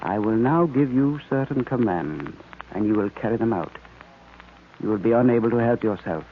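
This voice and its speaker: A man playing a sinister, commanding hypnotist villain in an old radio serial, speaking in slow, deliberate, menacing commands with a creaky voice. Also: on the low side, expressive, natural voice. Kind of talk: 1940s radio serial acting. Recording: noisy, tinny old radio recording.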